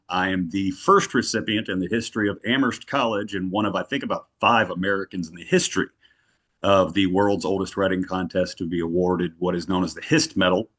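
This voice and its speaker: A man's voice: low voice, fairly bright tone. A man in his forties with a slight Southern accent, giving a formal, long-winded self-introduction on a video call. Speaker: board applicant.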